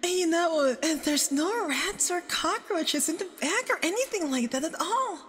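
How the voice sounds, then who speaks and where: Very high, nervous yandere boyfriend, voiced by a woman. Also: expressive, a bit bright. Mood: anxiously reassuring. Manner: nervous, halting, sweetly doting. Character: nervous, clingy, obsessive young femboy boyfriend on a date. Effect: doubled audio with added reverb. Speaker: ASMR roleplay performer. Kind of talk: ASMR roleplay. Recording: long reverb, doubled voice track.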